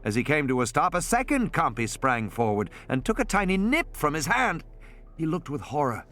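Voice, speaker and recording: Expressive male audiobook narrator over music. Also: on the high side, normal speed. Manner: expressive, suspenseful delivery. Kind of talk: dramatic scripted narration of a suspense novel. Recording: added music and sound effects underneath. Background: music and sound effects.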